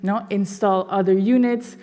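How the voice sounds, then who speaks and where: A woman from Belgium presents to an audience with eager energy, often saying "you know". Her voice sits at a middle pitch. Long reverb from the hall trails behind her words. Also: fairly even intonation, moderate pace, mellow. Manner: enthusiastic, fillers like "you know" and "no". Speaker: foundation presenter. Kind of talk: public presentation. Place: symposium hall.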